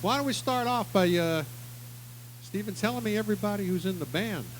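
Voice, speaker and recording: A man's voice: high-pitched, fairly quick, slight background noise, low-quality recording. A man hosting a casual live music interview, asking chatty, rambling questions. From United States. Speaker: host.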